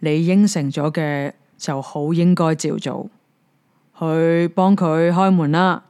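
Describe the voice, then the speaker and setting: A woman's voice: low voice, takes it slowly, a little mellow, clean tone. A woman doing a stern old storybook king. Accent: Hong Kong Cantonese. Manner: firm, lecturing.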